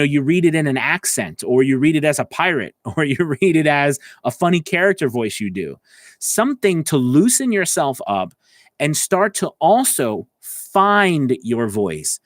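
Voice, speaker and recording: A man's voice: fairly high pitch, no room sound. Man coaching informally, close dry sound. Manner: conversational, encouraging, addresses listeners directly. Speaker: voice acting coach hosting a live stream. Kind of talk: informal instructional talk to livestream viewers.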